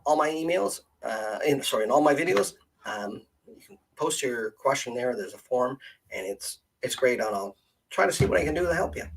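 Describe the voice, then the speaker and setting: A man hosting an informal live stream, telling stories in a halting way, often repeating and restarting his phrases. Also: mid-range voice, vocal fry, slightly rough, poor audio. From Canada. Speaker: live stream host, genealogist.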